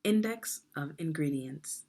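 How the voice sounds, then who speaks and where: Woman, husky voice, very lively pitch, deliberate teaching manner, scripted read-along for young kids. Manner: deliberate, instructional, poses questions to young listeners. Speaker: read-aloud narrator of a children's educational book.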